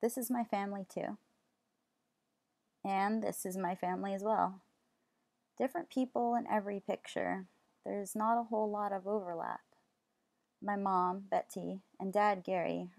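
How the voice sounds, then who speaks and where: A young woman from Los Angeles reading a calm, measured documentary narration about her family. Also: mid-range voice, creaky, no echo. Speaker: student filmmaker narrating.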